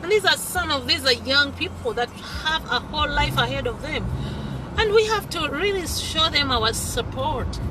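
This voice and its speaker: A Ugandan woman in her thirties speaks high and earnestly, stumbling over repeated words and dropping into Luganda phrases as she makes her case. She is recorded inside a car, with heavy traffic noise pressing in around her voice. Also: lively intonation, moderate pace. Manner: passionate, repeats words when searching, mixes in Luganda phrases. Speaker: vlogger host. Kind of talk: earnest social commentary monologue. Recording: loud car and road noise.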